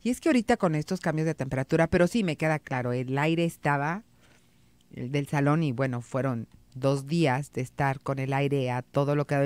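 A middle-aged Mexican woman hosting an advice radio show from a studio, calm and reassuring, with a head cold. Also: a bass voice, dry recording. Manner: calm, reassuring, reads listener messages aloud. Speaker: radio psychologist host. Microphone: studio mic on boom arm.

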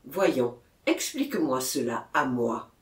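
A man's voice: mid-range voice, big pitch swings, slow pace, roomy sound, far from the mic, pitched below the performer's own voice. A man reading as a concerned, authoritative town mayor. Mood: coaxing.

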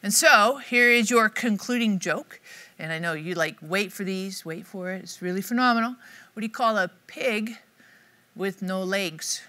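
A middle-aged woman speaking to camera with a bright, forward voice. Her delivery is upbeat and conversational. She teaches like a devotional host. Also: mid-range voice, moderate pace. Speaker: Bible teacher. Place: dark studio set, indoors.

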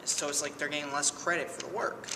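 A man's voice: fairly high pitch, little pitch movement, fast talker, vocal fry. A young man answering interview questions casually, with hesitant fillers, in a small room. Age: young adult. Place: small indoor room with a bulletin board. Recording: reverberant room from a distance, noisy.

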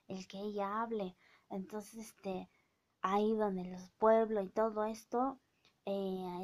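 Mazatec-accented woman in her thirties, unhurried, scolding vlog rant into a phone. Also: medium pitch. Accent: Mazatec-accented Mexican Spanish. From Oaxaca, Mexico. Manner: indignant, scolding, drawn-out speech with many fillers. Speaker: vlogger. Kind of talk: casual opinion rant to camera. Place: small indoor room with bookshelves. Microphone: phone camera at arm's length.

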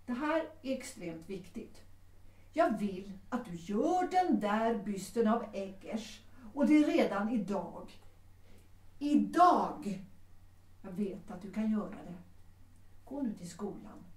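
A woman's voice: mid-range voice, animated, darkish tone, close to an everyday voice. A woman playing a proud, resolute middle-aged widowed mother in a 1930s audio drama, composed, formal and commanding. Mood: urgent, insistent. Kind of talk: Swedish audio drama acting. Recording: roomy, distant from the mic.

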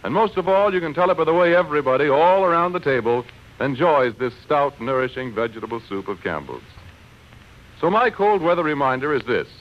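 A man's voice: a little high, very animated, brisk. An American man working as a radio announcer, reading scripted 1940s advertising in a folksy, persuasive, emphatic way. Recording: dull vintage radio broadcast recording.

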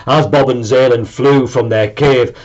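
A man around his forties narrating a children's story in an animated, deliberate way. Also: medium pitch, highs cut off. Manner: expressive, deliberate. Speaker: storybook reader. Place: small room at home, indoors.

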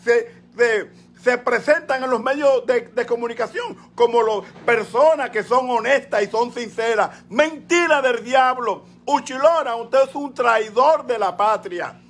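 A man's voice: very high voice, measured pace, fairly bright tone. A man in his sixties with a Dominican Spanish accent, delivering a fiery political rant, hammering his points by repeating words, filmed on a phone at arm's length in a small room at home. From Dominican Republic. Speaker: political commentator. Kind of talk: impassioned political rant on a live call.

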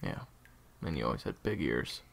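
An evasive younger brother turned traitor in an audio drama, acted by a man. His low voice is gravelly and swings widely in pitch, though his answers come terse and halting. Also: big pitch swings, a little hiss or hum, heavily acted. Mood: guarded, dismissive. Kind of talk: audio roleplay drama acting.

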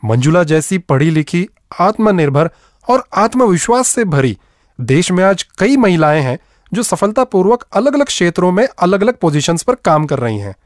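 A man presenting a radio programme with scripted, expressive and measured narration. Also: high-pitched, no room sound, studio quality.

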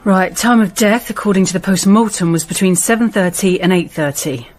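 Actress as efficient British female detective, deputy rank, mid-pitched, brisk and factual; radio crime drama. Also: darkish tone, natural voice. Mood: businesslike. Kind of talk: radio play acting.

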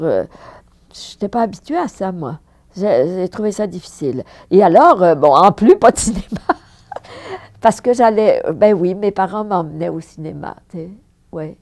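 Lively older French woman with a dark voice. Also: lowish voice. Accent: Parisian French. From France. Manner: animated, emphatic repetitions, frequent laughs. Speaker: actress interviewee. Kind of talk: reminiscing interview. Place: living room with fireplace, indoors.

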